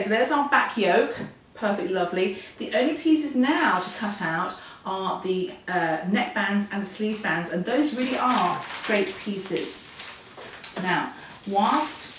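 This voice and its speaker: A woman with a hoarse voice, getting over a cold, teaching a hands-on sewing lesson in a chatty, self-deprecating, step-by-step way. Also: medium pitch, recorded from a distance. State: hoarse, losing her voice from a cold. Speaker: sewing instructor. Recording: echoey room, off-mic, faint noise.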